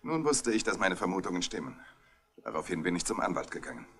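A man's voice: low-pitched, sing-song, fast, a bit bright, exaggerated voice. A man playing a bitter, grasping grown son under suspicion in a 1960s radio crime drama, sardonic and self-justifying. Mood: resentful.

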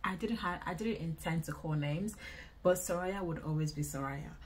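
A woman in her twenties with a Nigerian accent, chatting casually to camera in an indoor vlog, expressive and repeating phrases for emphasis. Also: sits low, moderate pace, slight background noise. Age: 20s. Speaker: vlogger. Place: small indoor room.

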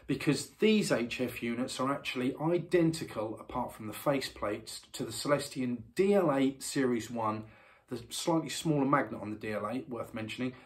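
A man in his forties talking casually and knowledgeably as a hi-fi reviewer, filmed in his living room. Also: mid-range voice. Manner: knowledgeable, chatty, expressive hand gestures.

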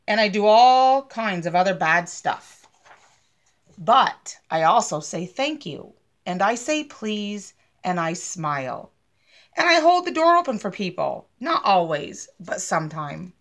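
Woman reading as slow-talking reformed bad seed. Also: mid-range voice. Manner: slow, dramatic storytelling. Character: bad seed, a gloomy reformed male storybook troublemaker. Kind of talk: children's picture book read-aloud.

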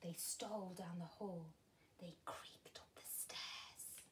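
A woman in her thirties reading a children's story like a primary teacher, expressively and with dramatic pauses. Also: lowish voice, whispered. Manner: animated, deliberate, dramatic pauses. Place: small furnished room at home, indoors.

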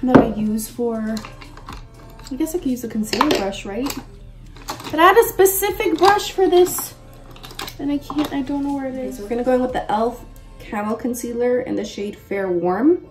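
High-voiced young woman, roomy echo. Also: a little fast. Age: mid twenties. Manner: chatty, slangy, with frequent exclamations. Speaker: makeup vlogger. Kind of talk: casual chat while doing a makeup tutorial. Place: bedroom-like room at home. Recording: roomy echo, distant mic, faint music.

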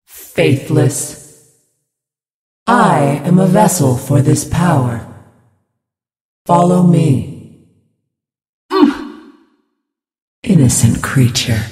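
A serene, echoing divine spirit. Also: female voice, low voice, darkish tone, full character voice. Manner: solemn, measured pronouncements. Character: serene divine female twin spirit healer, righteous and calm. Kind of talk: video game character voice lines. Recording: echoey distant reverberant sound.

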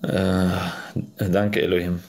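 A man's voice: sits low, level tone, very slow. A man in his thirties hosting a livestream, talking casually and preaching about religion. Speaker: livestream host. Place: small room at home.

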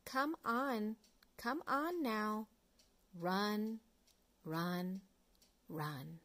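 A middle-aged woman reading an early reader picture book aloud to young children, phrase by phrase in a deliberate way. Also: mid-range voice, fairly bright tone. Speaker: storyteller, children's read-along host.

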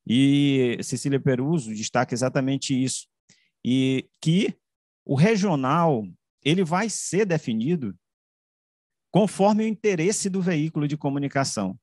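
A man's voice: fairly high pitch, animated, a little mellow, no room sound. Brazilian man presenting, dry close sound. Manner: halting, with repeated words and self-corrections. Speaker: academic presenter. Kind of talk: explanatory slide presentation. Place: indoor room, on a video call. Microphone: video call microphone.

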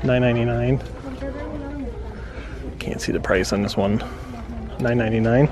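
A man's voice: mid-range voice, steady tone, moderate pace. A man hosting a shopping vlog, chatting casually and reading out prices while inside a busy store. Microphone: handheld camera, off screen.

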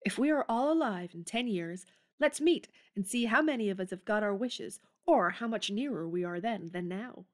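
A woman's voice: mid-range voice, animated, moderate pace, lightly acted. A woman playing a spirited, tomboyish young woman in an audio drama, speaking in a forthright, emphatic way. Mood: eager. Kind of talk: theatrical audio drama acting.

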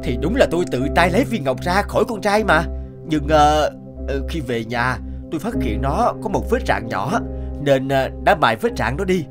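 A man performs a greedy, boastful treasure collector from a cartoon fable. His voice sits fairly high and leaps about theatrically. He exclaims with proud, obsessive intensity, and music plays underneath. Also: animated, normal speed, close to an everyday voice. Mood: smug. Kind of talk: animated fable voice acting.

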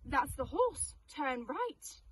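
Lady playing sneaky storybook cattle thief guy, conspiratorial, high voice, slow, noisy. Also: sing-song, a bit bright, exaggerated voice. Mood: cautious. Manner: conspiratorial, instructing. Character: two scheming male cattle thieves in a storybook.

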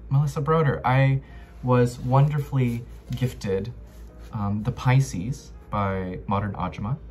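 A man's voice: medium pitch, steady tone, slow pace, darkish tone, soft background music. A man in his twenties giving a casual, playful book review to camera in slangy, chatty language from his bedroom. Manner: playful, slangy, with frequent "like" and trailing emphasis. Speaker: book vlogger. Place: small bedroom, indoors.

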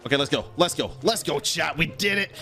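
A man's voice: on the high side, brisk. A man in his thirties giving casual, playful live commentary while streaming a game. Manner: excitable, playful. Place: indoor streaming setup. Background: game music.

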